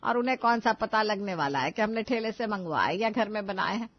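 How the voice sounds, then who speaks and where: A woman's voice: mid-range voice, animated, a little fast, no room sound. An older cartoon mother-in-law, acted by a woman, chatty and easygoing in a mid-range voice. The audio is a bit lo-fi. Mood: casual, chatty. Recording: dull lo-fi audio.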